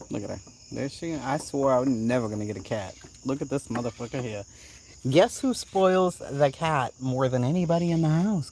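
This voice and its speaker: A woman with a Boston accent hosting a casual live chat at home, blunt and quick to swear, laughing often as she reacts to comments. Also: sits low, a little mellow, a little hiss or hum. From Boston area, USA. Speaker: channel host.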